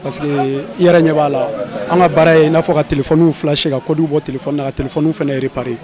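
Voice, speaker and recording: A young phone repair worker answering a street interview at his shop front, animated and gesturing, speaking into a handheld mic. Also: fairly high pitch, a little fast. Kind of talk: vox pop answer. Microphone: handheld mic held by interviewer. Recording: crowd noise behind.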